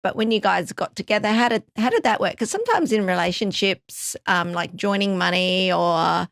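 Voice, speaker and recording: Upbeat woman host, gravelly edge. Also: mid-range voice, animated, normal speed, no room sound, clean studio sound. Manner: upbeat, encouraging, with warm laughs and affirmations. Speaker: podcast host. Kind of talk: scripted intro then interview chat.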